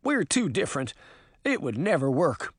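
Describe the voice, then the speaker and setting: A man voicing a big, soft-hearted, bashful pig-man in love, for a storybook reading. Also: a little high, big pitch swings, normal speed, slightly rough, no echo, clean studio sound. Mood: torn.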